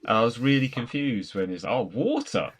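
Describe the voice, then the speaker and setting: A man in casual film banter as a co-host, animated and incredulous in his way of talking. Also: mid-range voice, normal speed, breathy edge. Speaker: podcast co-host.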